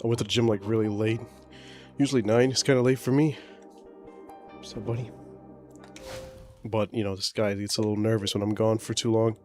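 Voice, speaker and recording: A man with a boomy voice chats casually while streaming a game from home. He rambles in a laid-back way and stops now and then to talk to his pet. Faint music plays behind him. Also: fairly even intonation, a little fast, pauses often, a little mellow. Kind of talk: casual livestream chat. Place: home, indoors. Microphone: desk microphone.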